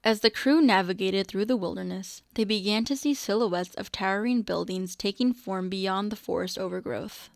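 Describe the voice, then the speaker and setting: Mid-pitched woman narrating a radio drama. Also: moderate pace, vocal fry, few pauses, no room sound, studio quality. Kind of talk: scripted storytelling narration. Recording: music behind the voice.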